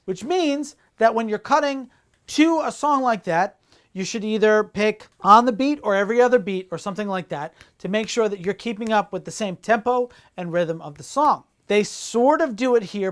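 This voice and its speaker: A man co-hosting a podcast, giving animated, analytical commentary on films in a casual style. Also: high-pitched. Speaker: podcast co-host.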